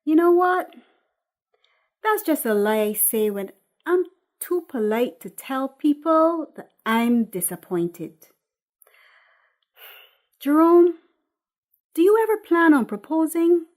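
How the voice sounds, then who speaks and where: Woman playing a defiant thirty-year-old woman, sarcastic, high voice, blunt, script drama. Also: sing-song, slow pace, long pauses, darkish tone, heavily acted. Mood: hurt, angry. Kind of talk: dramatic screenplay reading.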